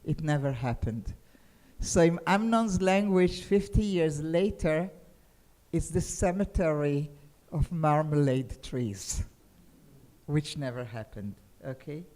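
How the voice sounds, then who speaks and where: A woman's voice: low voice, slow. An older woman with a Hungarian accent lectures to a seated audience, speaking into a table microphone. She tells her material like a story and keeps asking her listeners whether they follow. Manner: expressive storyteller, often checks in with listeners. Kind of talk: lecture on poetry to a seminar audience. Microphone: table microphone on a stand.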